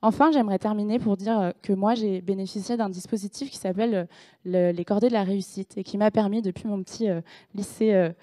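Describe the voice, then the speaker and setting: Energetic young French woman entrepreneur. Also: medium pitch, fast talker. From France. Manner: energetic, with frequent fillers. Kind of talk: standing presentation at a debate. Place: large room at a public event. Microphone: handheld microphone.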